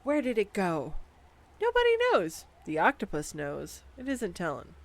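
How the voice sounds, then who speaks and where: A woman voicing an excitable, nerdy young girlfriend who rambles enthusiastically with giggles in a scripted audio roleplay, recorded on a condenser microphone. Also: medium pitch, measured pace. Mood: excited. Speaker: voice actor. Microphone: USB condenser microphone.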